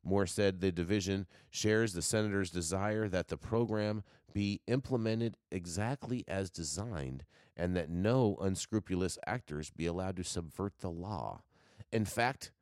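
A man's voice: lowish voice, a little mellow, dry recording, clean studio sound. A man co-hosting a news show, reading articles aloud with stumbles and restarts, then chatting over a remote call.

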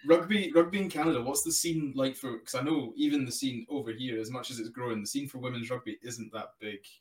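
Quick-talking man in his twenties. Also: medium pitch, roomy sound, far from the mic. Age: 20s. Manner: quick, jokey, laughs often. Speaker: host. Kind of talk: casual interview chat.

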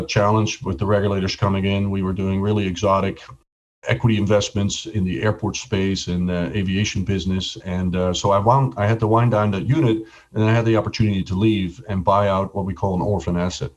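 A middle-aged man from the Netherlands, a podcast guest telling his life story in a relaxed way and often repeating words as he starts a sentence. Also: fairly low pitch, dull audio, slight background noise. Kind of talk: casual interview storytelling.

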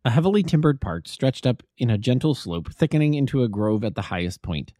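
A man's voice: mid-range voice, sing-song, brisk, warm tone, no echo, studio quality. A man reading a classic detective story aloud as an amateur audiobook narrator.

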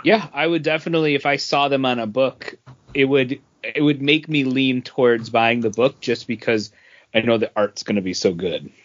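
A man's voice: mid-range voice, moderate pace, fairly bright tone. A man co-hosting a podcast, talking casually about comic books with his co-hosts. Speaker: podcast co-host. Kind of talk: casual comic book review chat. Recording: dull lo-fi podcast audio.

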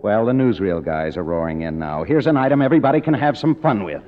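A man's voice: fairly low pitch, animated, fast talker, natural voice. Actor as bitter cynical younger man, radio drama, muffled hissy recording, echoey hall. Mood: wry narration. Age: young adult. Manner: sardonic, hard-boiled. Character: cynical younger kept man, a struggling writer, bitter narrator. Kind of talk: 1950s radio drama acting.

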